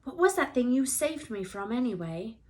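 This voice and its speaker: A woman voicing an anxious, excitable young schoolboy wizard in a storybook, urgent and questioning. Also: on the high side, normal speed, pitched above the performer's own voice. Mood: anxious, puzzled.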